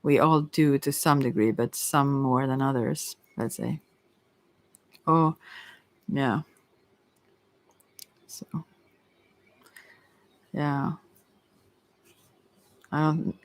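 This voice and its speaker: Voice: medium pitch, no echo. Hesitant tarot reader, very dry close sound. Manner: hesitant, rambling, musing delivery. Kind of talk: casual ASMR tarot reading.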